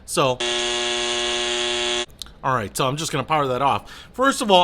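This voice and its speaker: A man's voice: a little high, fairly bright tone. A man giving a casual, enthusiastic hands-on product review in everyday colloquial language.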